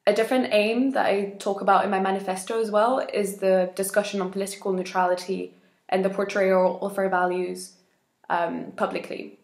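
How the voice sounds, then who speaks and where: A woman in her twenties from Spain, giving an earnest, steady campaign address with frequent ums into a webcam in a small indoor room. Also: mid-range voice, reverberant. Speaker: election candidate.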